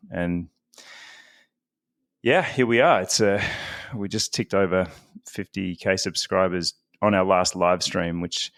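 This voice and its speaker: Chatty man, low and dry. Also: no echo. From Australia. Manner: chatty, self-deprecating, laughs often. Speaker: guest. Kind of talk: casual podcast interview.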